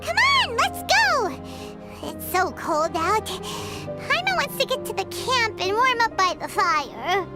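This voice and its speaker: A woman voicing a tiny, excitable fairy-like girl companion in a video game, with a squeaky childlike voice and an eager manner. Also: slow pace, heavily acted. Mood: eager, upbeat. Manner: refers to self in third person, eager. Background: background music.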